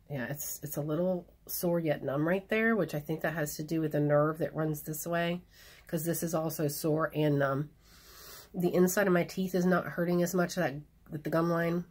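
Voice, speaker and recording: Low husky woman vlogging casually. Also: a little mellow. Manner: chatty, frank, rambling with fillers. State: hoarse after surgery. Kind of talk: casual video diary.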